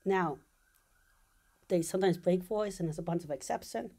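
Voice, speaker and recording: A woman's voice: lowish voice, normal speed, dark tone. A woman giving an informal explanatory monologue, thinking aloud as she explains technical ideas step by step. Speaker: video essayist.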